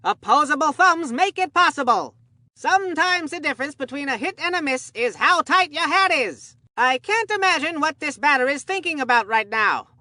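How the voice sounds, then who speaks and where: A male cartoon baseball announcer with a very high, sharp voice. He is earnest and absent-minded, calling the game in a folksy way and treating silly nonsense as serious analysis. The sound is dry and close, like a video game commentary track. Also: moderate pace, no echo. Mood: upbeat, goofy. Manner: folksy, earnest delivery of deadpan absurd jokes. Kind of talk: scripted sports commentary.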